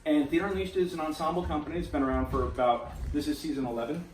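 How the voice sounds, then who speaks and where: A man's voice: fairly high pitch, steady tone, rapid-fire, darkish tone. A man speaking informally to a theatre cast as their playwright and director. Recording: echoey room, distant mic, faint noise.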